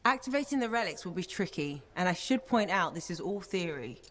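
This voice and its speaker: An actress playing a thoughtful young female scholar in a video game, explaining things in a measured, gentle way. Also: on the low side, lively intonation.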